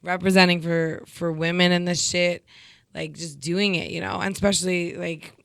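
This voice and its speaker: A woman in her thirties, a rapper speaking casually in an interview, laid-back and quick to laugh. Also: on the low side, fairly even intonation, creaky, fairly bright tone, no echo, clean studio sound. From Ontario, Canada. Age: 30s. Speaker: rapper guest.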